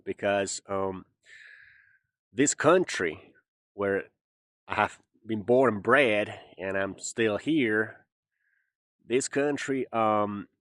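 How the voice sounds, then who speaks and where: A man's voice: on the low side, fairly bright tone, dry recording, studio quality. Finnish man with a Southern drawl. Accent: Southern American-style English. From Finland. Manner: rambling, chatty and joking, with many fillers. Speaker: vlogger. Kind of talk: casual monologue to camera.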